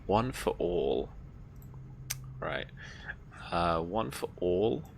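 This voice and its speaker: A woman's voice: a bass voice, big pitch swings, drawn-out words, creaky, a little hiss or hum. A woman running a tabletop roleplaying game as game master, informal and encouraging toward her players.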